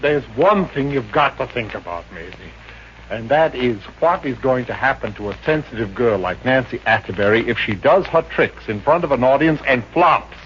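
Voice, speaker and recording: A prissy, easily flustered gentleman, played by a man in an old radio play, stammering when rattled. His voice sits at a middle pitch. It comes through a dull broadcast with faint hiss. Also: expressive, moderate pace, natural voice. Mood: fretful. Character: fussy, timid male salon owner and doctor. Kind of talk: 1950s radio drama acting.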